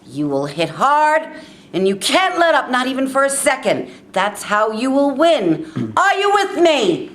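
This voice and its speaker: A woman playing a demanding male football coach giving an emphatic, exhorting pep talk in a staged reading. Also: a little high. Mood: rousing, demanding. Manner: emphatic, exhorting, building to shouts.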